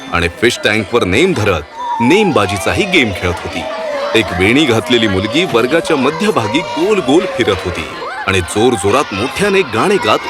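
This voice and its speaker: A man narrating a scripted children's fairy tale as a storyteller. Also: mid-range voice. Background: background music.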